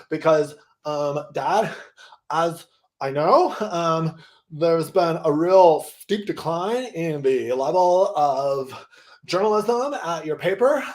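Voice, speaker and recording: A man acts an earnest son with big dreams in a comedy sketch. He sounds stubborn and declaims his words deliberately. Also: fairly high pitch, lively intonation.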